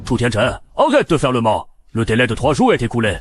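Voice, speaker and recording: A man voicing a sneering, threatening thug who collects a debt, in a French animated series dub. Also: medium pitch, a little fast. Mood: menacing. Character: menacing male thug, debt collector, arrogant.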